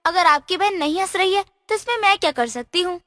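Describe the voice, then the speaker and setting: A woman's voice: very high voice, expressive, a little fast, fairly bright tone. A woman voicing a cheeky, playful fairy girl in a cartoon story. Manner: teasing, chirpy, mischievous. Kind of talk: cartoon voice acting.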